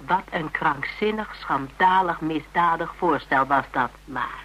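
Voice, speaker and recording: An actor playing a policeman's sharp, teasing, skeptical confidant in a radio drama, expressive and mocking. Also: a little high, measured pace, lo-fi sound, a little hiss or hum. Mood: animated, conspiratorial.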